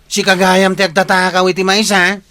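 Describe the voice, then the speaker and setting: A man's voice: sits high, completely flat delivery, moderate pace, bright, forceful tone. A man playing a blustering, threatening village watchman in an Ilocano radio comedy drama. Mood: accusing. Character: blustering village watchman guarding his corn.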